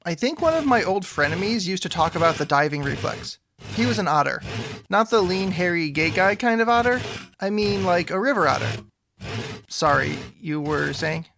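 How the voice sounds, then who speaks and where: Troubled supernatural misfit for audio drama: heavy vocal fry, wry, awkward, conversational. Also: male voice, sits high, expressive, moderate pace, noisy background. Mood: musing. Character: troubled, awkward, wry man cursed with antlers.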